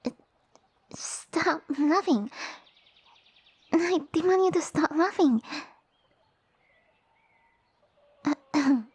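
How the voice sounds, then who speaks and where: A woman playing a sassy, tiny snake-girl noble with pompous, formal, commanding speech and a soft breathy voice, in an ASMR roleplay. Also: high voice, fairly even intonation, a little slow. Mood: flustered, indignant. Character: haughty, sassy young lamia noblewoman, tiny monster girl. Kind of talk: ASMR roleplay audio drama.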